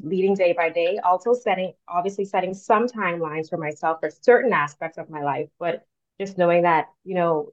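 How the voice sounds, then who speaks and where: A woman from the Bahamas, in her thirties, speaking as a career coach guest in a relaxed, thoughtful way with frequent fillers. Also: on the low side. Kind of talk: casual interview talk. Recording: dull lo-fi sound.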